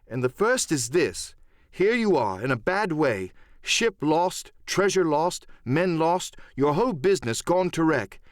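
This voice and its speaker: A man's voice: on the high side, sing-song, a little slow, no echo, clean studio sound, voice pushed up. A man playing a brave, defiant teenage boy in an audiobook, speaking in an earnest, bold, declamatory way. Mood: defiant, excited.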